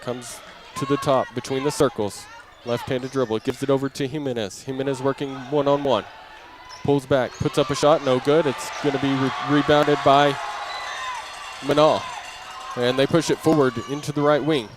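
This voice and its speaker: A man's voice: mid-range voice, flat delivery, normal speed. Male basketball announcer over noisy gym sound. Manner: clipped running description, raising voice on action. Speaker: play-by-play announcer. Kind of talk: live basketball radio commentary. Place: indoor basketball gym during game. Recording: noisy gym ambience, bouncing balls.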